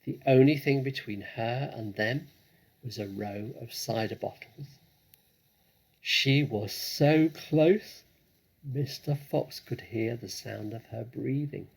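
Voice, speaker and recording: A man reads a storybook with drama and care. His voice is gravelly and husky at a middling pitch, expressive and deliberate. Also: low-quality recording. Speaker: storybook reader. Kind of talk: dramatic children's story narration.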